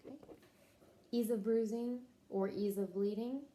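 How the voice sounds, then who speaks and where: A woman taking a health history, working briskly through a checklist of short clinical questions. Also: on the high side, steady tone, mellow, a little hiss or hum. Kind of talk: clinical question-and-answer interview.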